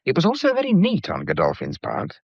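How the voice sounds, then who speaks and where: An actor playing a wry, self-deprecating English painter in a radio drama, with a breezy, clipped way of talking. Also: male voice, medium pitch, wildly swooping pitch, brisk, creaky, breathy edge, dry recording, full character voice. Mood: breezy, explanatory. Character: wry, self-deprecating painter and amateur sleuth, teasing suitor. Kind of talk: mid-century radio drama acting, conversational. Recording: dull, muffled vintage radio recording.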